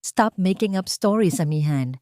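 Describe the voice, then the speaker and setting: A woman's voice: on the low side, animated, moderate pace, warm tone, no room sound, studio quality, natural voice. A woman with a Filipino accent voicing a cold, heartless young man in an audio story, contemptuous in manner. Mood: cold contempt. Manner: contemptuous, switches into English.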